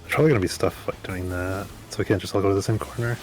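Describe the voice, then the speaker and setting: A man's voice: deep voice, fairly even intonation, rapid-fire, darkish tone. A man guiding friends through a game raid in casual, matter-of-fact chat. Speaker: experienced gamer guiding the group through a raid. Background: game music.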